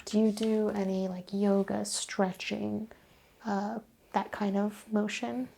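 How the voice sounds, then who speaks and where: A woman playing an attentive, reassuring chiropractor in a soft-spoken ASMR roleplay, asking questions in a hushed, unhurried way. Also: mid-range voice, little pitch movement, vocal fry, slight background noise. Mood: reassuring, methodical. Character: attentive, reassuring female chiropractor taking a history. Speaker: ASMR performer playing a clinician.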